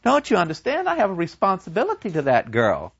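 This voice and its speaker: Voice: mid-range voice, huge pitch swings, brisk, gravelly, a bit bright, husky, voice pushed up, full character voice. A storyteller voicing a guilt-stricken scholar, earnest and stammering, in a dull, dry lo-fi recording.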